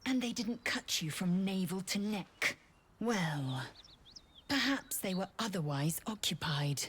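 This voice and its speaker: A woman's voice: medium pitch, expressive, slow pace, a bit bright, slightly rough, exaggerated voice. A woman playing a severe young female alien warrior in a video game, with an imperious, disdainful, formal delivery. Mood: cool disdain. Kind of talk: scripted video game character acting.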